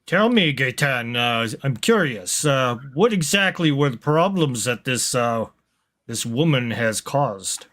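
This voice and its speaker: A man improvising as a seasoned, unhurried military captain in a tabletop roleplaying game, with a deliberate manner. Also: medium pitch, animated, a bit bright. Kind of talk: improvised in-character roleplay and narration.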